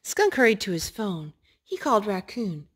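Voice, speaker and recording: A woman reading a picture book aloud to a young child, gently asking him questions between pages. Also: medium pitch, very animated, moderate pace, breathy edge. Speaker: storyteller.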